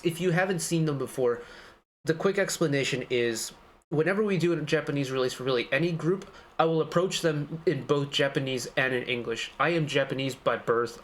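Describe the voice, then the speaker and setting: A man's voice: mid-range voice, slight background noise. A young man giving casual, analytical commentary as the host of a music reaction video, talking in a chatty way. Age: young adult.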